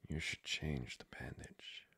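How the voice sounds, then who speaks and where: A man playing a flirty, hesitant vampire in an ASMR roleplay, speaking haltingly in a breathy whisper. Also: pushed and bright. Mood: shy concern. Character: flirty, hesitant, lustful male vampire, tsundere.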